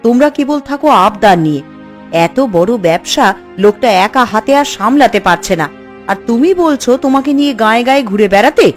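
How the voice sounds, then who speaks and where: A woman playing a fretful, scolding housewife and doting mother in a cartoon, exasperated and coaxing in an expressive way. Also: a little high, fairly quick, soft background music, natural voice. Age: adult. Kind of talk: cartoon voice acting.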